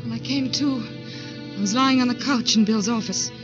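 A woman's voice: on the high side, normal speed, lightly acted. An actress playing an anxious, lovestruck woman who narrates her ordeal in an earnest, melodramatic 1940s radio drama style. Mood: haunted, tense. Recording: muffled 1940s radio recording with music.

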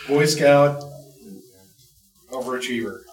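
A man's voice: medium pitch, slow pace, soft background music. Wry man on an echoey, distant mic. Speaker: audio drama creator, writer and panelist. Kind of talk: casual panel chat. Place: outdoor hotel courtyard at a convention.

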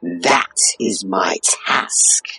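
Slow, husky otherworldly spirit. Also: deep voice, pushed and bright, faint music behind, heavily acted. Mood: solemn, bargaining. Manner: slow, formal, measured. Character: otherworldly summoned spirit, formal bargainer. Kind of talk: audio drama acting.

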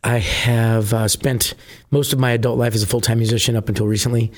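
A middle-aged man from New Jersey, a musician guest with a husky voice, chatting casually and rambling with many fillers. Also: mid-range voice, little pitch movement, brisk. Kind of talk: casual interview chat.

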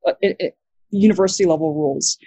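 A young woman with an American accent, a guest talking casually on a video call, quick and halting, full of fillers and restarts. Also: lowish voice, warm tone, slightly rough, no echo. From New Zealand.

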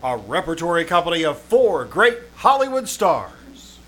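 A man's voice: fairly high pitch, big pitch swings, measured pace. A man announcing a show in old-time radio style. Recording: live stage with loud music.

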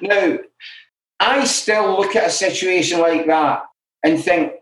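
A man's voice: high-pitched, slow pace, fairly bright tone. A middle-aged man from Glasgow with a Glaswegian Scottish accent, speaking as an interview guest and telling his own stories frankly, with many "you know" asides. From Scotland. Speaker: interview guest in alcohol recovery. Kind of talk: candid conversational storytelling. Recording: roomy echoey room.

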